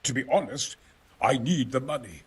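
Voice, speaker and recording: Husky, animated medieval scholar. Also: male voice, medium pitch, moderate pace. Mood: wry, explanatory. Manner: hesitant, wry, gentlemanly exclamations. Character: genial, erudite exiled male engineer, self-important about his opus. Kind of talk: video game cutscene acting.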